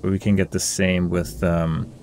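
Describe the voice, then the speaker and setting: A man's voice: deep voice, level tone, normal speed, darkish tone, slight background noise. A man giving casual game commentary, musing and weighing his options aloud in a hesitant way. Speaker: gaming video creator. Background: game music.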